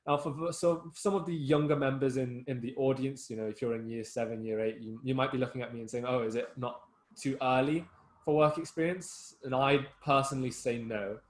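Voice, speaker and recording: Casting: young man, earnest student mentor, mid-range voice, halting with ums, recorded in a reverberant room. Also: mid-range voice, steady tone. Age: young adult. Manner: earnest, many fillers and restarts. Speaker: student giving advice talk. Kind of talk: informal talk to school students.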